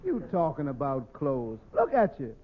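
An actor playing a deferential but slyly needling tavern employee in 1940s radio comedy style. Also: male voice, a little high, wildly swooping pitch, unhurried, heavily acted. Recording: muffled old radio recording.

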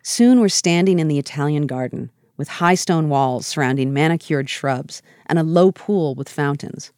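Calm American woman narrating a journey. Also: sits low, normal speed, smooth, no room sound, studio quality. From United States. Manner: calm, reflective storytelling. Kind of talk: scripted travel narration.

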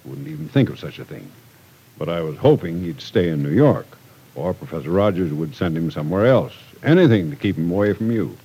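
A man's voice: low voice, sing-song, brisk, creaky, dark tone, slightly rough, heavily acted, low-quality recording. An actor playing a stern, protective older man in a 1940s radio drama, earnest and moralizing, in an old recording. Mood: stern, worried.

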